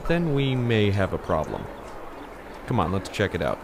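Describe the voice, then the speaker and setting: A brooding, polite high school rich boy, played by a man in an audio drama. His fairly low voice is understated and a little hesitant, serious, with faint noise behind. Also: wildly swooping pitch, normal speed. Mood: calm.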